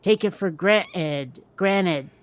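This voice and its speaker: A woman's voice: lowish voice, extremely slow, dry recording. A woman from Vermont with a faint New England accent, chatting casually as co-host and filling her talk with "like" and side remarks. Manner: chatty, many "like"s and asides. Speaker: podcast co-host. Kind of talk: casual chat.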